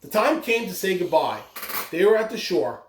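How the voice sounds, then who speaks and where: Echoey, expressive storybook reader. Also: medium pitch, normal speed. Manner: expressive, with brief asides to listeners. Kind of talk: read-aloud of a children's story. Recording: roomy echo, clear background noise.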